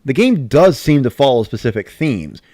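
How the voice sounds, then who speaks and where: A man's voice: mid-range voice, very animated, moderate pace, darkish tone, no echo, clean studio sound. A man giving a scripted first-person narration, like a diary of playing a video game. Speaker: narrator of a dramatic reading.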